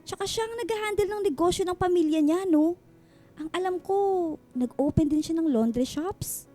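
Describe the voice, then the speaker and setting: Radio drama matchmaker friend, Filipina in her thirties: high, mellow voice, gushing, slangy, bantering. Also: normal speed. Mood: eager, persuasive. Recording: music bed under the dialogue.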